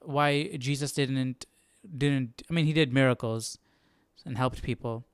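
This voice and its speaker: A man hosting a Bible study livestream, thinking aloud in a casual, informal way and often halting to search for words. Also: medium pitch, clear, resonant tone, dry recording, clean studio sound.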